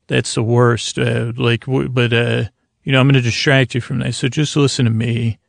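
A man with a creaky voice hosting a bedtime podcast in a languid, meandering, soothing ramble, often stumbling over his words. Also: medium pitch, little pitch movement, normal speed, no room sound, clean studio sound. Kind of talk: rambling, soothing sleep-aid monologue.

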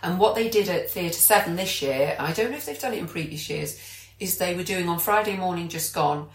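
A woman's voice: lowish voice, lively intonation, fairly quick. A British woman co-hosting a podcast, chatting casually and enthusiastically. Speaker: podcast co-host. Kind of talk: casual chat. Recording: echoey room.

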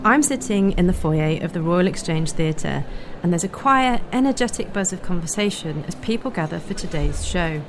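A woman's voice: lowish voice, lively intonation, moderate pace, slight background noise. A British woman hosting a podcast, speaking in a scripted narration style. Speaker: podcast host.

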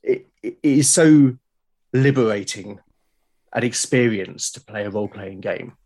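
A man's voice: lowish voice, a little slow, a little mellow. A man in his forties with a British accent, chatting casually as an interview guest, reflective and enthusiastic. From United Kingdom. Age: 40s. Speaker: podcast guest, illustrator.

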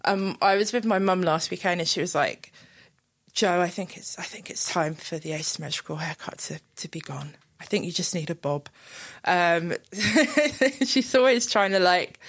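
A woman's voice: lowish voice, measured pace. A young woman chatting casually as an interviewee, giggly and full of fillers. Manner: chatty, giggly, lots of likes. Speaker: club night co-founder, interviewee. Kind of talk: casual chat.

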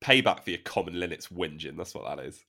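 A man's voice: low voice, lively intonation, fast talker, pushed and bright. A man co-hosting a podcast, talking in playful, teasing banter. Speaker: podcast co-host. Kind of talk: casual banter.

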